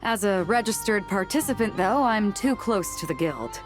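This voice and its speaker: A woman's voice: mid-range voice, lively intonation, normal speed, over music, lightly acted. A woman playing a self-possessed, hard-bargaining swordswoman in a video game, cool and unruffled. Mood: cool, wary.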